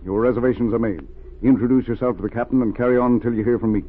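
An actor playing a calm, commanding male secret agent in a 1930s radio drama. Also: mid-range voice, very fast, natural voice. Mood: firm. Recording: tinny old radio recording.

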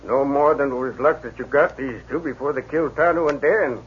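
A man's voice: mid-range voice, brisk, close to an everyday voice. A man playing a folksy frontier sheriff. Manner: drawling, folksy and admiring. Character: folksy frontier sheriff, middle-aged, easygoing. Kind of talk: 1950s radio drama acting. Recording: tinny old radio broadcast.

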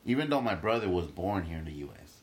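A young man from Guatemala, a stand-up comic, talks emphatically as a podcast guest in a fairly low voice. He swears freely and stumbles into quick restarts while making his points. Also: very animated. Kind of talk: casual podcast interview.

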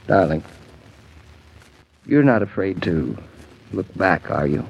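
An actor playing an affectionate, gently teasing husband in a 1940s radio drama, with a wry, patient manner. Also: male voice, low-pitched, expressive, takes it slowly, creaky, slight background noise, heavily acted. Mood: tender, earnest. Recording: dull, lo-fi 1940s radio broadcast.

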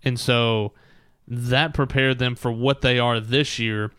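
A man's voice: mid-range voice, steady tone, takes it slowly, fairly bright tone, clear, resonant tone, no echo, clean studio sound. A man hosting a sports talk show, delivering recaps fluently in short announcer-like phrases.

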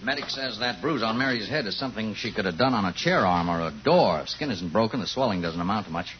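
Muffled, faintly noisy old radio recording. A man acts a by-the-book police boss, low in pitch with big swings of intonation. He is matter-of-fact and weary, pausing as he goes, yet loyal underneath. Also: expressive, lightly acted. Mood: sober. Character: weary, loyal male police superior, torn but by-the-book. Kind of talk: 1950s radio thriller acting.

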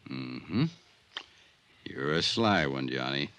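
A man acting a laconic, sly small-town sheriff in folksy 1950s radio drama style, with a gravelly voice. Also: very deep voice, expressive, fairly bright tone, soft background music, full character voice. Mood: wry, knowing. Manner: folksy, drawn-out laconic replies. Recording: faintly noisy 1950s radio recording.

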